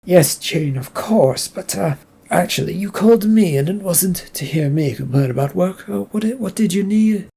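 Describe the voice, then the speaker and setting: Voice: mid-range voice, expressive, moderate pace, gravelly, warm tone, husky, pitched above the performer's own voice. A reader voicing a stressed male manager who stammers and gets flustered.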